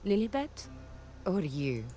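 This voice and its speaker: A proud, fearless woman ruler of warriors for a video game. She speaks at a slow, measured pace with calm, theatrical assurance and a teasing edge. Soft music plays behind her. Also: medium pitch. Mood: teasing, composed.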